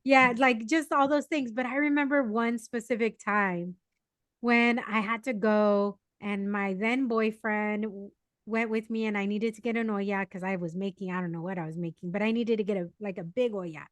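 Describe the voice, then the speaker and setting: A woman co-hosting a podcast, chatting casually and telling animated stories with fillers. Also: medium pitch, normal speed, dry recording. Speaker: podcast co-host. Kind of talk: casual chat.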